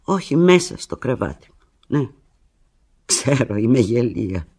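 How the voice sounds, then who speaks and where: Radio play lead: heartbroken woman clinging to her lover by phone, husky, intense, fragmented delivery. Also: very animated, full character voice. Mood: anguished, pleading. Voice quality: low, husky. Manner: intense, fragmented, swinging from hushed to anguished. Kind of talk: radio drama monologue, one side of a phone call.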